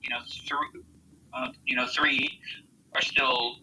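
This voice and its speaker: An American man giving earnest interview answers as a volunteer soldier, speaking haltingly as he searches for words. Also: medium pitch, little pitch movement, sharp tone, husky, low-quality recording. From United States.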